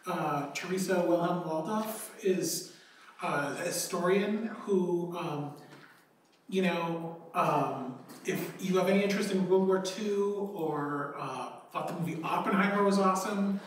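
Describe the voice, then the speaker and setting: Slow, hesitant male library report; far mic, roomy hall. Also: high voice, slight background noise. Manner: halting, lots of ums, upbeat. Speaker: library staff giving a report. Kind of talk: casual public report. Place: council chambers, large room. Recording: echoey room, far from the mic.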